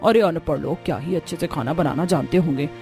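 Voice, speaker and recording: A woman's voice: low-pitched, brisk, mellow, pitched below the performer's own voice. A woman voicing a boastful, jealous middle-aged village mother-in-law in a cartoon family drama, with a haughty, taunting manner. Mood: scornful. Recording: music behind the voice. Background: music.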